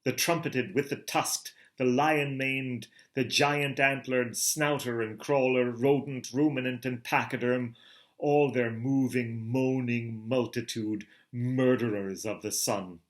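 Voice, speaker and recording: A middle-aged man giving a theatrical reading of a novel, moving from hushed murmurs to booming calls. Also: fairly even intonation, slow pace. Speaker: reader of a novel. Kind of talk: dramatic literary reading.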